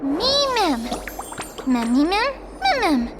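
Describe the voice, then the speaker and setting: A tiny, cute creature from a video game, babbles eager nonsense in a squeaky, childlike chirp. The pitch is extremely high and leaps around a great deal, with loud music behind it. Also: female voice, very animated, slow, heavily acted. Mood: excited, cheerful. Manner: chirps in repeated nonsense syllables.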